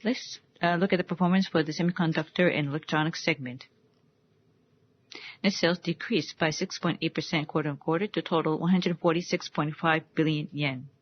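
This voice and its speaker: A woman giving a formal financial results presentation, reciting figures in a careful, measured way. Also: sits low. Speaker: conference call presenter. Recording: dull, muffled conference call audio.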